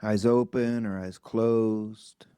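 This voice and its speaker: Very slow, low-voiced man guiding meditation. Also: steady tone, warm tone, smooth, no room sound. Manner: unhurried, with long pauses between short phrases. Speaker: meditation teacher. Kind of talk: guided meditation.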